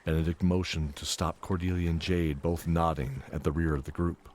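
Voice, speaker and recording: A man's voice: low voice, level tone, moderate pace, a little mellow. A man narrating a scripted fantasy story as the narrator of an audio drama. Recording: music under the voice.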